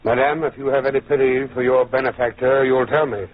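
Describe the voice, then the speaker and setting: A man playing a calm, probing, dignified French judge in old radio drama style, formal and measured. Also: medium pitch, close to an everyday voice. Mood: grave. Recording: muffled, tinny old radio recording.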